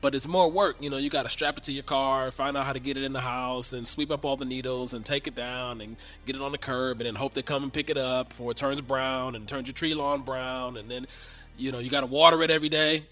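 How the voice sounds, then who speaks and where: A man's voice: medium pitch, steady tone, fast talker, sharp tone, faint music behind. A man hosting a podcast in a casual, slangy monologue, a little nasal and congested from a cold. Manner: laid-back, slangy, chatty. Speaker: podcast host. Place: home recording booth.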